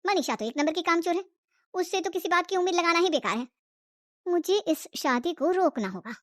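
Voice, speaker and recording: Woman voicing a high-pitched, scolding, greedy sister-in-law. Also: expressive, a little fast, a bit bright, dry recording, studio quality. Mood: scheming. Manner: scolding, complaining. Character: greedy, scheming, sharp-tongued young sister-in-law.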